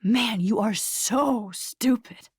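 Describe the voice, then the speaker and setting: Sneering, husky American mean girl. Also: fairly high pitch, slow pace, no echo, clean studio sound, exaggerated voice. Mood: mocking. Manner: sneering, sarcastic, cutting. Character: scornful, taunting dark double of a teenage girl. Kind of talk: video game voice acting.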